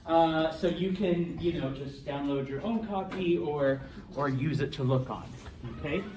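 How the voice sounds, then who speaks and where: A male language instructor giving a relaxed classroom lecture, joking and checking in with students often. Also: a little high, unhurried. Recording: echoey classroom from a distance, faint noise.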